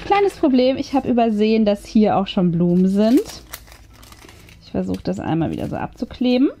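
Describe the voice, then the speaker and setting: A woman's voice: mid-range voice, big pitch swings, moderate pace, warm tone. A woman giving casual craft tutorial commentary, chatty and thinking aloud as she works. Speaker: craft video host. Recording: music behind the voice. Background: background music.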